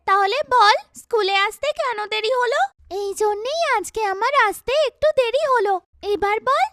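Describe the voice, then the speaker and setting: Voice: very high-pitched, fairly bright tone, no room sound, clean studio sound. A performer voicing an earnest, chatty young schoolgirl in a children's cartoon, with exaggerated, emphatic childlike delivery.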